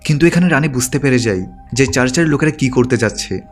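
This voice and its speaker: Guy doing movie recap narration, talks quick and steady, bit of music behind. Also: mid-range voice. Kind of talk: scripted narration, plot recap.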